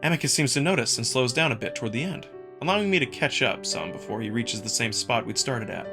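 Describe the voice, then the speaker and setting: A man reading scripted first-person narration from a visual novel in an expressive storytelling manner. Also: medium pitch, fast, music in the background. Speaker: narrator and voice performer.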